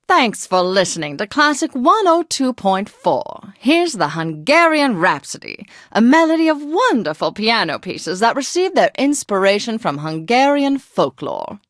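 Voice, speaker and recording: A decadent classical radio hostess from a video game. Her voice is on the high side and swoops about, recorded close and dry. She gushes theatrically in old-time slang, full of endearments. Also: very animated, measured pace, a bit bright, no echo. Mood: grandly gushing. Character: flamboyant, martini-loving classical radio DJ, decadent lady. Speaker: radio host.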